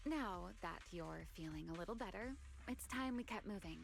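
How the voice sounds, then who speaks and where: A woman playing a composed, enigmatic fighter and mentor in an anime-style game, unhurried, playful and slightly formal. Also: fairly low pitch, expressive, creaky, faint music behind. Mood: teasing.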